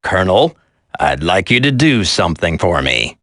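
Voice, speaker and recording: An actor playing a smug, sadistic terrorist villain in a video game, with a drawling, taunting, theatrical delivery. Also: male voice, sits low, big pitch swings, moderate pace, a bit bright, no echo, studio quality, exaggerated voice. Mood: cold.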